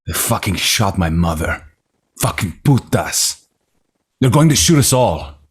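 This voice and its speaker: A man reading a husky, panicky broken rancher. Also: deep voice, very animated, unhurried, full character voice. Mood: panicked. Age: 50s. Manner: panicked, cursing, despairing. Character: broken fifties rancher son, panicky and fatalistic. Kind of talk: screenplay table read.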